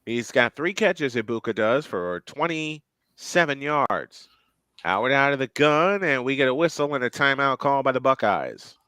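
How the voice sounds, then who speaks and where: A man doing live play-by-play commentary on a football game, surging in pitch and volume on big plays and often repeating phrases. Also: expressive, moderate pace, pushed and bright, clean tone, no room sound. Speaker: play-by-play announcer.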